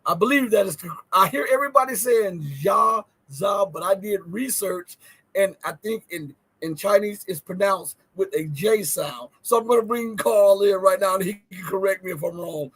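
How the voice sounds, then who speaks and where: A man's voice: high voice, moderate pace, fairly bright tone, husky. A man hosting an interview show by video call, introducing his guest and asking questions in an earnest, wordy way. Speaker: podcast host. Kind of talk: interview host.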